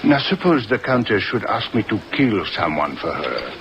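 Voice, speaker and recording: Suave French-accented police inspector, animated. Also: male voice, fairly low pitch, normal speed. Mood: sly, reasoning. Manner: theatrical, flowery, leisurely savoring speech. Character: suave, indulgent, teasing Algerian police inspector. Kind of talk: old-time radio drama acting. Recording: muffled, noisy old radio recording.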